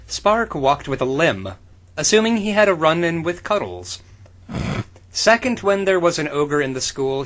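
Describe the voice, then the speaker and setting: A man's voice: mid-range voice, fairly bright tone. A man co-hosting a podcast, giving a comic dramatic reading of fan fiction in an irreverent, sweary manner and breaking off to comment. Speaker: podcast co-host.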